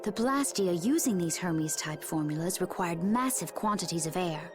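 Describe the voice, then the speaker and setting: An actress playing a composed, knowledgeable woman in a video game, explaining grave history in a measured, technical way. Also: lowish voice, lively intonation, music in the background, lightly acted. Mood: grave, explanatory. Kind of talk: scripted video game acting.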